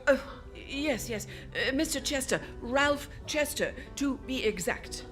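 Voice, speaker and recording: A woman's voice: high voice, expressive, slow, over music. A woman playing a flustered, secretive department store executive in an old-time radio drama, hesitant and repeating words.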